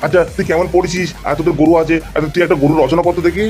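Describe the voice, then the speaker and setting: Fast-talking bossy cartoon schoolteacher played by a man. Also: a little high, fairly even intonation, a little mellow, faint music behind, lightly acted. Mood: questioning, bossy. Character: bossy male schoolteacher in animal cartoon, easily impressed. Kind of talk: amateur cartoon comedy dubbing.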